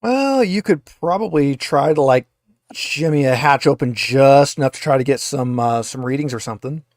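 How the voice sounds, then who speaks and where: A man's voice: medium pitch, animated, moderate pace. A man acts as game master for a tabletop roleplaying session. He explains and narrates in a loose, conversational style, with a dry sound free of room echo.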